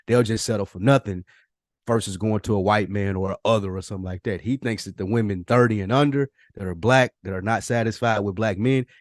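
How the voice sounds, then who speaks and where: Low-voiced man, blunt podcast talk. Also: dry recording, studio quality. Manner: blunt, profane slang, questioning. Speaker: podcast host. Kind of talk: casual conversational podcast chat.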